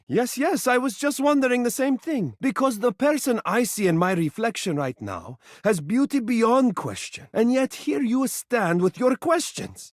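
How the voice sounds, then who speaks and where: A male actor voicing a suave living mirror in a video game, an adoring flatterer who gushes with theatrical drama. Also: high-pitched, no room sound, clean studio sound, heavily acted. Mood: doting, insistent. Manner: effusive, dramatic, gushing, doting. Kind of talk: video game character voice acting, romantic.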